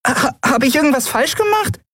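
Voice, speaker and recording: A man voicing a tiny, cheeky winged female creature with a squeaky voice in an audiobook. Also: expressive, normal speed, bright, forceful tone, no echo, clean studio sound, heavily acted. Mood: confused. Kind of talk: audiobook character voice.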